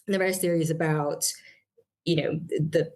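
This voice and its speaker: A middle-aged woman from Barbados, a psychiatrist answering interview questions in a measured way, with many fillers and restarts. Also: deep voice, fairly even intonation, a little mellow. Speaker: guest psychiatrist.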